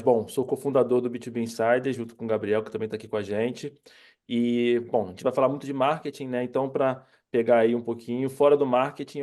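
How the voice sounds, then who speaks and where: A man's voice: mid-range voice, darkish tone. A man with a Rio de Janeiro Brazilian accent, talking casually and jokingly as a panelist on an online call. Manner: laid-back, joking, informal. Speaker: webinar panelist, company co-founder. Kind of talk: casual self-introduction.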